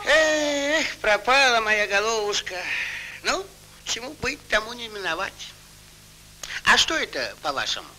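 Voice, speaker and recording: An actor playing a plucky, cunning fairy-tale soldier with hearty, wily, folksy speech, in 1940s Soviet cartoon style. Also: male voice, high-pitched, big pitch swings, a little slow, bright, forceful tone, a little hiss or hum, heavily acted, poor audio. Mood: resigned. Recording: old 1940s film soundtrack, music behind.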